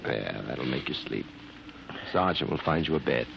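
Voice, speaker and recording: An actor playing a calm, paternal military doctor in a 1950s radio drama. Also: male voice, extremely low pitch, normal speed, creaky, full character voice. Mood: soothing. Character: calm, paternal military medical officer, quietly curious. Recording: muffled old radio recording, faint noise.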